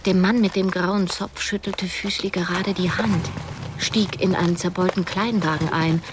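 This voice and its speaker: An actress playing a reflective, wistful narrator who tells her story in measured, literary radio drama style. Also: on the low side, steady tone, background noise, natural voice. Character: reflective woman narrator recalling events, wistful.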